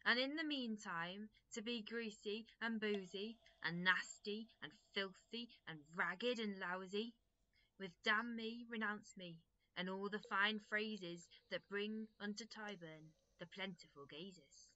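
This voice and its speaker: A gleeful, taunting imp of hell played by a woman in an audio drama. The voice is sharp and bright, with a jaunty verse rhythm, on a slightly dull recording. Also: mid-range voice, takes it slowly. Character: Iniquity, gleeful mocking vice spirit from hell.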